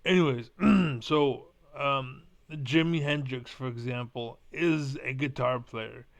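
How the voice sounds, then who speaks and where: A man's voice: mid-range voice, slow pace, gravelly. A young man with Spanish as his first language, rambling bluntly in a casual monologue and swearing now and then. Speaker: vlogger.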